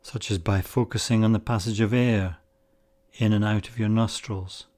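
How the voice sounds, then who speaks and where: A man with a fairly low voice leads a guided meditation. He gives spoken instructions in short, deliberate phrases, pausing between them in a measured way. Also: steady tone, darkish tone.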